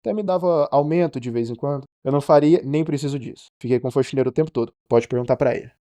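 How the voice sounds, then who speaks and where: Man as a mellow, fast-talking ship's cook. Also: mid-range voice, no room sound, close to an everyday voice. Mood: defensive. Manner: colloquial, confiding. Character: downcast, defensive male ship's cook. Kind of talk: animated film acting.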